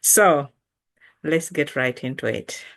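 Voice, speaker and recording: A woman with a Malawian accent teaches vocabulary in an informal lesson. Her voice sits a little low and she takes her time, saying words again deliberately so learners can follow. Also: fairly bright tone. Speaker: language teacher.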